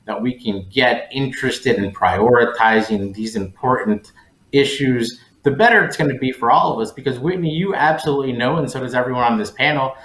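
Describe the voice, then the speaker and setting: Male panelist, mid-pitched, conversational delivery, echoey room sound. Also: brisk, fairly bright tone. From Colombia-born, raised in West Virginia, United States.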